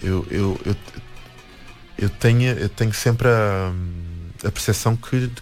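A man with a European Portuguese accent, a musician and anthropologist talking reflectively in an interview, pausing and restarting often. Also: on the low side, fairly even intonation, a little mellow, faint music behind. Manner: hesitant, many restarts and fillers.

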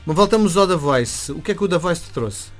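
Portuguese guy hosting radio, european accent, slightly high voice, chatty, faint music behind. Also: lively intonation, fairly quick. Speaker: radio host and interviewer. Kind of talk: conversational radio interview.

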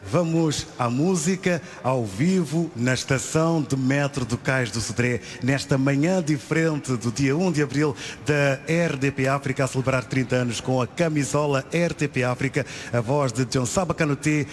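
A man's voice: medium pitch, moderate pace. A man presenting a live radio broadcast from a metro station, announcing with slight hesitations. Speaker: radio host. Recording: reverberant station hall, faint noise.

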